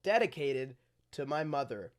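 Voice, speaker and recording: A storybook reader with a very animated delivery and an unhurried, slow pace. The reading is theatrical and drawn out, broken by muttered, deadpan asides. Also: medium pitch. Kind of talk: reading picture books aloud with joking asides.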